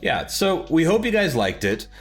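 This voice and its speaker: A man's voice: a little high, sing-song, normal speed. A man co-hosting a podcast, chatting casually and enthusiastically in slangy, conversational language. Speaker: podcast co-host. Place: recorded remotely, separate from co-host. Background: background music.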